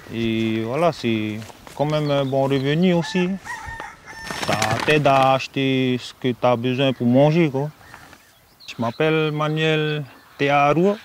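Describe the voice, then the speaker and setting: A man, talking easily in an interview, full of fillers. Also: fairly high pitch. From Austral Islands, French Polynesia. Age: 30s. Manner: easygoing, filler-heavy speech. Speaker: copra farmer interviewee. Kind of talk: relaxed interview. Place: outdoors under palm trees. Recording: outdoor noise behind voice.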